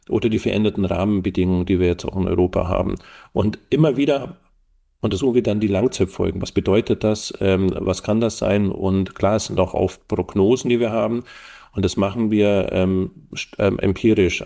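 A middle-aged man with a gravelly, low voice. His tone is dark and mellow, and he explains at length in an interview, pausing on fillers as he thinks. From Allgäu, Germany. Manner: thoughtful, explaining with frequent fillers. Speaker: guest researcher. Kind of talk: podcast interview.

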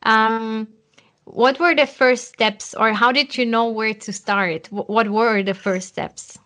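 A woman interviewing a guest, asking brisk follow-up questions and affirming his answers. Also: fairly high pitch, steady tone, a bit bright.

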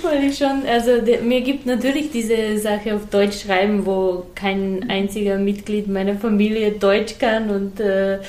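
A woman's voice: medium pitch, flat delivery, a little slow, hardly any pauses, darkish tone, reverberant. A woman whose first language is Serbian, a novelist guest speaking in a hesitant, thoughtful way with many fillers in a casual conversation.

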